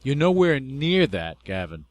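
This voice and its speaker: A man's voice: medium pitch, very animated, measured pace. A man hosting a radio interview, talking in an effusive, rambling way. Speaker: radio show host. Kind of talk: casual interview chat.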